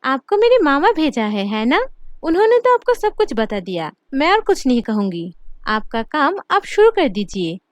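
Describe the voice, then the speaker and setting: A woman's voice: sits high, big pitch swings, moderate pace, no room sound. A woman voicing a scheming, anxious young village wife in a cartoon. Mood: assured.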